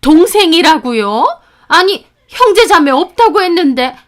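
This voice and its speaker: A woman voicing a boyfriend's scornful middle-aged mother who scolds and sneers in a shrill voice. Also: lively intonation, a little slow, a bit bright, exaggerated voice. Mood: indignant. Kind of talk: storytelling with acted dialogue.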